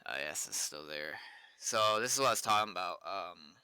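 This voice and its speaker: Bright, clear man streaming casually. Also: sits low, expressive, normal speed, dry recording. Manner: blunt, freely swearing. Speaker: streamer, game server developer. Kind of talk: casual livestream commentary while coding. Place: indoors at a computer.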